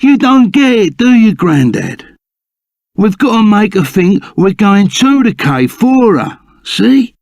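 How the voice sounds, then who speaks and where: Casting: a gruff, loyal Cockney rogue for a game, pitched fairly high with gravelly creak, blunt and slangy. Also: male voice, sing-song, takes it slowly, darkish tone, dry recording, studio quality, heavily acted. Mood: scheming, animated. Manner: rough colloquial slang, blunt and emphatic. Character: gruff, loyal reformed bandit sidekick, plain-spoken and excitable. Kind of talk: video game character voice acting.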